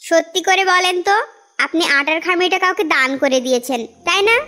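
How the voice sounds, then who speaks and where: A woman's voice: extremely high pitch, brisk, sharp tone. A woman voicing a poor, plain-spoken young housewife in a cartoon, with a questioning, plaintive manner. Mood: doubtful. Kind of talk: animated cartoon dialogue in Bengali. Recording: music behind the voice.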